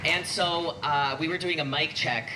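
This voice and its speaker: A man's voice: on the high side, unhurried, a loud crowd. A man co-hosting a live podcast, telling stories in casual comic banter and breaking easily into laughter. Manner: animated storytelling, breaks into laughter. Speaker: podcast co-host. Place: live podcast event with audience. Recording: roomy, very noisy live event audio.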